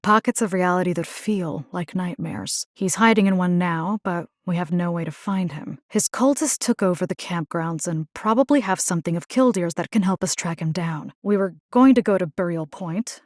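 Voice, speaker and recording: A resolute young vampire fighter from a video game, acted by a woman, with a lowish voice in a dry, close recording. She is earnest and caring, speaking plainly and conversationally with determination and a wry edge. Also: normal speed, hardly any pauses, no echo, lightly acted. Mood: earnest, determined.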